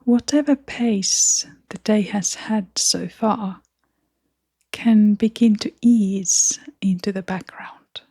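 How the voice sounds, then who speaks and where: Very slow, breathy woman guiding meditation. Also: mid-range voice, fairly even intonation, no room sound. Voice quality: soft, breathy, often near-whispering. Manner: gentle, soothing, with long pauses. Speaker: meditation guide. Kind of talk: guided meditation, scripted.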